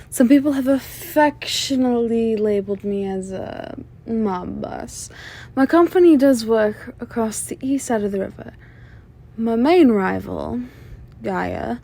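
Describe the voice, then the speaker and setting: A woman playing a flirtatious, menacing female mob boss in an ASMR roleplay, sly and self-assured, with a soft, teasing, sultry delivery. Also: on the high side. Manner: teasing, sultry, drawn-out delivery with veiled threats. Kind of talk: scripted ASMR roleplay monologue addressed to listener.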